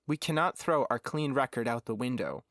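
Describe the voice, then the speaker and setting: A man voicing a decisive, guarded woman who leads prisoners, speaking earnestly and often hushed. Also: medium pitch, normal speed, no echo, clean studio sound, lightly acted.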